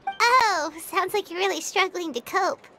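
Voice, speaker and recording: A woman voicing a tiny childlike companion creature in a video game, squeaky, cheeky and excitable. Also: unhurried, heavily acted. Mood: teasing. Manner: speaks of self in third person, blunt. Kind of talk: scripted video game voice acting. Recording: clear music behind the voice.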